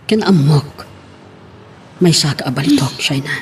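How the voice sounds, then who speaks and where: A man's voice: fairly high pitch, lively intonation, unhurried. Man acting as an earnest young suitor, noisy recording. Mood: earnest, reassuring. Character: earnest young male suitor, devoted and persistent. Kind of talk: Ilocano radio drama acting.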